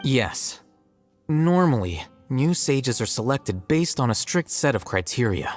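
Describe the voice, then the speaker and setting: Aloof, deadpan young scholar. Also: male voice, medium pitch, measured pace. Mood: detached. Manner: deadpan, matter-of-fact, dismissive. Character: aloof, blunt young male scholar and scribe. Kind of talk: video game voice acting. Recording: faint music behind the voice.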